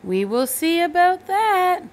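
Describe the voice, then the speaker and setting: A woman's voice: very high-pitched, very animated, slow pace, voice pushed up, full character voice. A woman voicing a bossy, exasperated mother in a children's story, giving firm, commanding orders.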